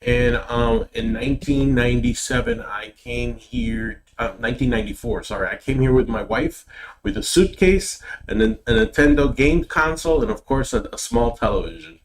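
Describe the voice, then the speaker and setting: A man's voice: mid-range voice, expressive, moderate pace. A middle-aged man from Puerto Rico with a Puerto Rican accent, a native Spanish speaker answering as a political candidate, hesitant and repeating words. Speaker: political candidate being interviewed. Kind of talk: interview answers.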